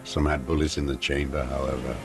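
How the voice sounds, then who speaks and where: An actor playing a bitter, weary old communist deserter and former commissar in a video game, speaking in terse, brooding phrases with a smoker's rasp. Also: male voice, a bass voice, flat delivery, brisk. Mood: grim, brooding. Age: older. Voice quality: gravelly, coughing smoker's voice. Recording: music under the voice.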